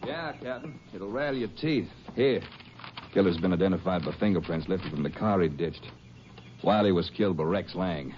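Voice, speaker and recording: A man's voice: low-pitched, animated, normal speed. An actor playing a matter-of-fact lawman reporting to his captain in an old radio crime drama. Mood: urgent. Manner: matter-of-fact, plain-spoken. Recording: muffled, hissy old radio recording.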